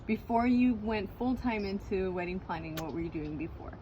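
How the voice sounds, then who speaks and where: A woman's voice: medium pitch, normal speed, noisy background. A woman interviewer who asks short, direct questions and answers with brief affirmations.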